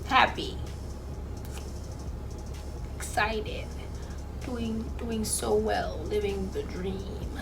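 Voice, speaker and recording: A woman's voice: mid-range voice, steady tone, drawn-out words, vocal fry, pauses often, music in the background, poor audio. A young woman from Jamaica with a mixed Jamaican and Bahamian accent, talking casually to camera with sassy, sarcastic asides. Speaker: vlogger.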